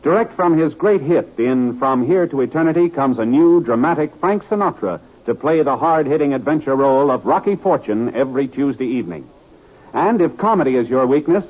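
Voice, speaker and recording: A man giving polished, formal announcements for a 1950s radio network. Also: medium pitch. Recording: tinny radio transcription with music.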